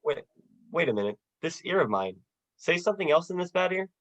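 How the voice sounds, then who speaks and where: A young performer playing a despairing, sardonic family man in a radio play, with halting, dry delivery. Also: medium pitch, sing-song, a bit bright. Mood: baffled. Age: teens or young adult. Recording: dull, lo-fi video call audio.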